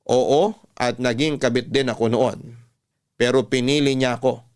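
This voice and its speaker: Smooth-voiced Filipino male storyteller. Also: mid-range voice, fairly even intonation, measured pace, darkish tone. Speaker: radio-style storytelling host. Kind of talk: emotional first-person scripted narration in classic radio style.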